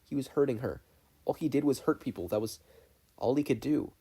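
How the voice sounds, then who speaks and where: Mellow fan fiction narrator. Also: mid-range voice, brisk, breathy edge. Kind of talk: scripted story narration.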